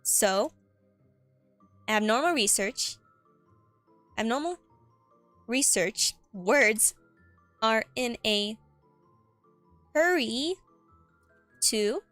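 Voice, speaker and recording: A woman streamer speaks in a fairly high, slightly cracky voice. She works through her writing aloud in a casual, halting way, repeating words as she goes. The sound is dry and close, with faint music behind her. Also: lively intonation, a bit bright, dry recording. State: voice a bit cracky. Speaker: streamer and writer. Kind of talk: casual livestream chat while writing a script aloud. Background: background music.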